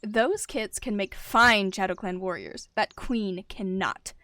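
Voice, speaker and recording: A woman's voice: sharp tone, no echo. A woman voicing a scheming female cat leader with a controlled, menacing growl in an animal roleplay story. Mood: cold, pressing. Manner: low growling, slow, controlled.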